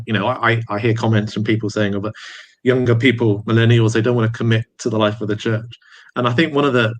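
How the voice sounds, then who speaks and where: A man's voice: lowish voice, a bit bright. A man hosting an interview, encouraging his guests and stumbling over false starts. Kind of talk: casual interview.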